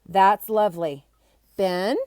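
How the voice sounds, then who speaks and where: A woman voicing a kindly but firm schoolteacher in a storybook, polite and brisk. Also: medium pitch, a little mellow, no room sound, studio quality, lightly acted. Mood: encouraging.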